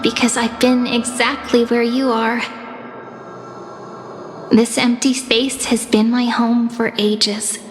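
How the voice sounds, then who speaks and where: A mysterious, gently upbeat young game heroine. Her high voice is playful and reassuring, trailing long reverb with faint music behind it. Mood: earnest. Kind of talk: video game voice acting. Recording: long reverberant hall effect.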